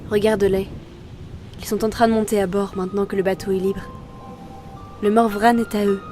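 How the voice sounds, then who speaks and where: A woman's voice: mid-range voice, little pitch movement, normal speed, over music, natural voice. An actress playing a weary, commanding female reaper of souls in an audio drama, terse and matter-of-fact. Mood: resigned duty.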